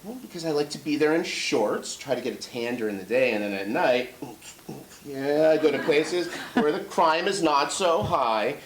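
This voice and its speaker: A man's voice: on the high side, lively intonation, normal speed, close to an everyday voice. A man playing a troubled, wistful brother in his mid-thirties, hesitant and rambling, in a staged drama reading. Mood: wistful, casual. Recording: echoey room with music behind.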